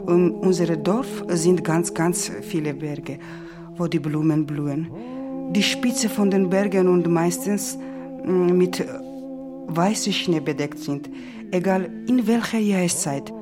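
Woman, Georgian accent, lower-pitched and unhurried, poetic recollection, loud music behind. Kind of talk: personal recollection with recited verse.

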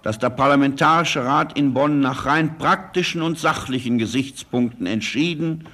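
A man gives a formal public address in the style of 1940s radio. He is stately and measured in manner, with a medium-pitched voice. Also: bright, forceful tone. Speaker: politician giving an address.

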